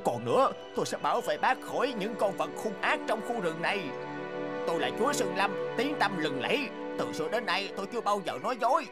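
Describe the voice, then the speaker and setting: Sly, wheedling cartoon tiger. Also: male voice, sits high, fairly quick. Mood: pleading. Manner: wheedling, flattering, boastful. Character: sly scheming male tiger, trapped, boastful. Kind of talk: cartoon voice acting. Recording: music bed under the voice.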